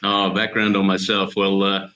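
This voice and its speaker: An American man in his fifties, a dairy scientist being interviewed, explaining his work steadily and in detail with frequent pauses. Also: low-pitched, completely flat delivery, bright, forceful tone. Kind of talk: casual explanatory interview talk.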